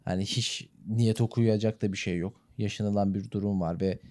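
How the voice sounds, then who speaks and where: A man's voice: on the low side, fairly even intonation, normal speed, dark tone. A man co-hosting a casual radio-style talk podcast, speaking with courteous, playfully formal phrasing. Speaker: podcast co-host.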